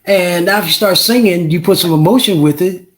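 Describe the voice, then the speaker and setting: A man's voice: high-pitched, brisk. A man, a musician guest on an interview podcast, talking emphatically, repeating himself and checking with "right?". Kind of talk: casual interview chat.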